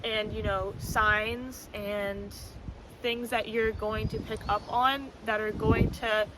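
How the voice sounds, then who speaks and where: A woman with a loud voice rambling casually about spiritual ideas, full of fillers, talking to camera outdoors on a windy spot. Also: a little high, flat delivery, slow. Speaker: spiritual vlogger. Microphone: camera mic, no external microphone.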